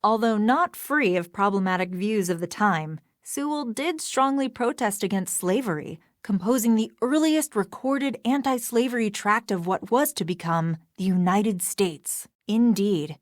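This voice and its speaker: A woman's voice: medium pitch, lively intonation, measured pace, few pauses, no room sound, studio quality, close to an everyday voice. An actress playing a formal, scholarly woman historian in a video game, earnest, precise and bookish in manner.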